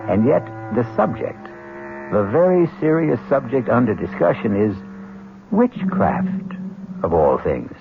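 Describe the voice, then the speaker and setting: A sardonic old radio mystery narrator, creaky voice, phone-thin sound with hum. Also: male voice, medium pitch, sing-song, measured pace. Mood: wry, ominous. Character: wry, dramatic male storyteller introducing a tale. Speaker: narrator and host. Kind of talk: scripted radio drama narration. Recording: tinny, noisy old radio recording with hum.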